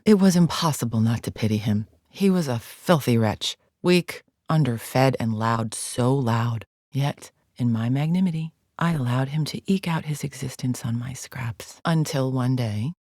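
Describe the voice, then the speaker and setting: A woman's voice: a bass voice, a little mellow, no room sound, studio quality. An actress playing a haughty alien gladiator in a video game, formal, clipped and menacing as she guides the player with open contempt. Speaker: mission guide character.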